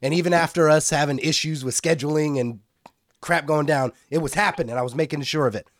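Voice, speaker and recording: A man's voice: a little high, a little fast, dry recording, clean studio sound. A man co-hosting a podcast, talking in a laid-back, casual way with mild cursing. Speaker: podcast co-host.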